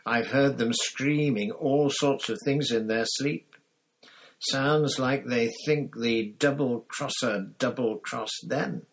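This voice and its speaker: A man's voice: medium pitch, slow, slightly rough, pitched above the performer's own voice. A man reading an audiobook, voicing a bitter escaped prisoner who hisses venomous accusations. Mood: accusing. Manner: venomous, hissing, growling accusations.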